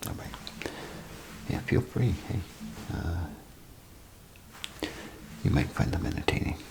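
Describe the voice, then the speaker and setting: A man doing a soft-spoken, half-whispered ASMR role play as a chatty, grumbling bathroom attendant, rambling and hesitant in a folksy way. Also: fairly low pitch, slow pace, noisy background. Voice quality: gravelly, breathy half-whisper. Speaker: ASMR performer.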